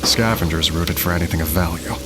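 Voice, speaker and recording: An actor playing a grave letter-writer recounting an uprising in solemn, measured, dramatic narration for an audio drama. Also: male voice, low-pitched, very noisy, natural voice.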